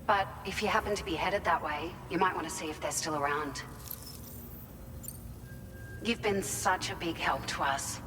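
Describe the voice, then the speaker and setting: A woman's voice: on the low side, moderate pace, pauses often, natural voice. An actress playing a composed, encouraging female operator giving scripted mission briefings in a video game. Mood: grateful, encouraging. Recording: echoing hall reverb, clear background noise.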